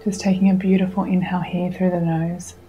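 Low, even-toned woman guiding meditation over music. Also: lo-fi sound. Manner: soothing, unhurried, gently instructing with long pauses. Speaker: meditation guide. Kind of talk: guided meditation. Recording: music under the voice.

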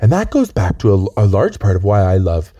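A man, raspy from a chest cold, rambling earnestly and stammering through deadpan comedy chat outdoors on a lookout. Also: lowish voice, brisk, muffled recording. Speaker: comedy co-host.

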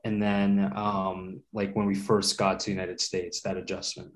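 A middle-aged man from Latvia talks through his memories at an easy pace, circling back and restarting as he thinks. His voice sits a little low for a man. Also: level tone, normal speed. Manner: thoughtful, many fillers and restarts. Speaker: guest. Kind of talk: casual interview conversation.

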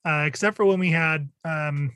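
A man's voice: on the high side, moderate pace, fairly bright tone, no room sound, studio quality. A man hosting a podcast in a casual interview style, talking in an informal, rambling way. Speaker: podcast host.

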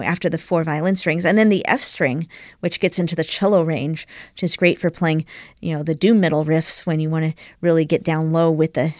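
Woman, middle-aged, talkative, enthusiastic, slightly low voice, dry recording. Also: no room sound. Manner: enthusiastic, talkative, frequent "you know" and "um". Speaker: professional violinist, interview guest. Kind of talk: relaxed interview answers.

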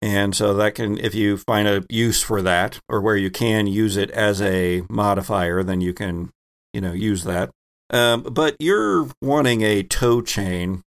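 A man's voice: lowish voice, unhurried. A man narrating and refereeing a tabletop role-playing game as game master.

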